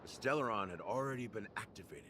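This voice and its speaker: A man's voice: fairly low pitch, expressive, fast, bright, forceful tone, slight background noise. An actor playing a world-weary, grave man recounting a regretful past in a video game.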